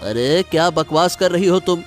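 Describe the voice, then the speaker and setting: A naive cartoon freeloader with a high male voice, acted by a man. He is slow-witted and mild, speaking in a puzzled, protesting way with faint music behind him. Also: lightly acted. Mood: uneasy protest. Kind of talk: Hindi cartoon moral story dialogue.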